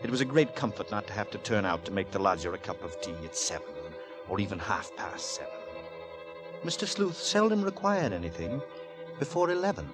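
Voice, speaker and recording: An actor as the measured, dryly wry storyteller narrating a 1940s radio suspense drama in a literary manner. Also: male voice, fairly low pitch, sing-song. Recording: vintage radio, music behind.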